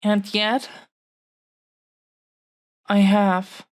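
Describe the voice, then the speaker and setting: A woman playing a possessive, adoring dragon-blooded fiancée in an intimate audio roleplay, speaking in earnest, halting, heartfelt phrases. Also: medium pitch, flat delivery, no echo. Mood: remorseful.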